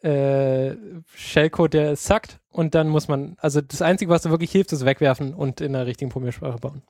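A man with a slightly high voice talks in a loose, slangy way and swears offhandedly. The sound is dry and close, with almost no room in it, and he is on a remote podcast call. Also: steady tone, moderate pace, a little mellow, no echo. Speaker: podcast co-host. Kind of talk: casual tech chat.

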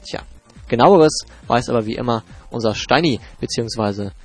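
A man's voice: medium pitch, moderate pace, a little mellow, soft background music. A man with a stuffy cold hosting a podcast in a chatty, jokey way with loose fillers. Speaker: podcast host. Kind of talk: casual podcast presenting. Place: home in Hamburg.

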